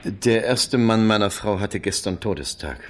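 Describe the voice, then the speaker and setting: An actor playing a reserved, polite former clerk in a German radio crime drama, speaking in a hesitant, clipped way. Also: male voice, on the low side, animated, moderate pace, lightly acted. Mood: reserved, curious. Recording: 1970s radio play with background noise.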